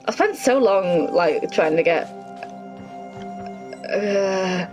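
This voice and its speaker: A woman's voice: medium pitch, expressive, very slow. A woman doing casual, chatty gameplay commentary, thinking aloud and reacting expressively as she plays. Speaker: gaming streamer. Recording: slightly dull, game music behind. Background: faint game music.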